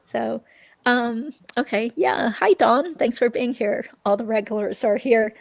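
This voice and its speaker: A woman's voice: on the high side, a little slow, gravelly, dry recording. Chatty woman hosting a livestream, dry close sound. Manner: chatty, informal. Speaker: livestream host. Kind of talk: casual chat, true-crime commentary.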